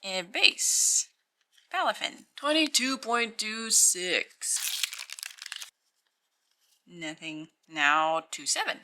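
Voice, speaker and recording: A woman with a croaky morning voice, speaking slowly with a bright, lively pitch. She muses and reads out numbers in a relaxed way while unboxing at a tabletop, with a faint hiss and some light clicks behind her. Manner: slow, musing, reads out weights. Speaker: channel host opening card packs. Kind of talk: casual hands-only unboxing commentary. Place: indoors at a tabletop.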